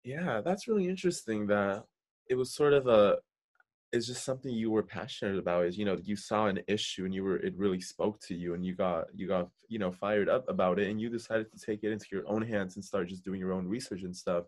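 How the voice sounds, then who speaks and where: A man's voice: on the low side, brisk, a little mellow. A young American man hosting a podcast, chatting casually and feeling his way through questions and thoughts. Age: young adult. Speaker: podcast host. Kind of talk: casual interview chat.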